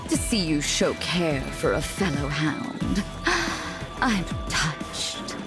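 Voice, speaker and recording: A woman's voice: low-pitched, sing-song, over loud music, exaggerated voice. A woman voicing a cold, menacing villainess commander in a video game, with a theatrical mock sweetness. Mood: mocking menace. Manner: slow, deliberate, theatrically mocking. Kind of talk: video game cutscene voice acting.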